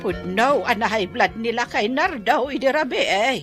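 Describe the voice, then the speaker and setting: A woman's voice: a little high, animated, normal speed, fairly bright tone, faint music behind. A woman playing a fretful, scolding older village mother in an Ilocano radio comedy drama. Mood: gossipy, concerned.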